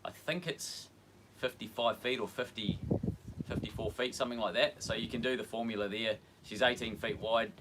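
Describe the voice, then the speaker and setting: A man hosting a casual livestream, answering viewer questions in a hesitant, relaxed way with many pauses. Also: medium pitch, background noise. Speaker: boat channel host.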